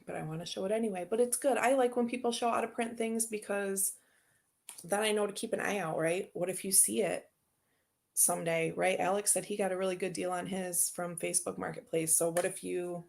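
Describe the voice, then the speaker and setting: A woman's voice: mid-range voice, steady tone, a little fast, vocal fry, darkish tone. A woman hosting a tarot channel, chatting casually and rambling as she unboxes decks and answers viewer comments.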